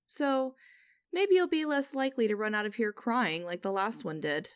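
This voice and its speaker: A woman playing a cold, condescending female boss in an ASMR audio roleplay, speaking to the listener in a deliberate, commanding, mocking way. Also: fairly high pitch, animated, clean tone, dry recording, clean studio sound, close to an everyday voice. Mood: cold, controlled. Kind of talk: ASMR roleplay acting, direct address to listener.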